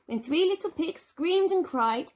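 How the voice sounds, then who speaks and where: Lady teacher reading a kids picture book, high voice, really expressive, slow and swoopy. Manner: expressive, dramatic emphasis with drawn-out words. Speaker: primary school teacher. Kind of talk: children's storybook narration.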